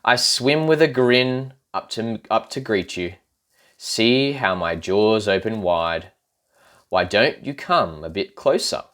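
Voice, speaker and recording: A man's voice: lowish voice, animated, slow, a bit bright. A man voicing a grinning storybook shark, falsely welcoming, with a sly, coaxing menace.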